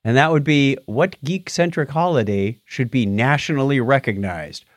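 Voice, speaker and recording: A man's voice: mid-range voice, animated, moderate pace, no room sound, clean studio sound. A middle-aged man hosting a podcast in casual chat, upbeat as he wraps up segments. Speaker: podcast host.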